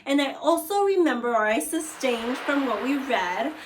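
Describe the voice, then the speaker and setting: A woman's voice: high voice, expressive, moderate pace, background noise. A woman teacher leading a read-aloud lesson for young children, asking questions and guiding them in an encouraging, instructive way. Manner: encouraging, instructive, addressing pupils directly. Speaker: elementary school teacher.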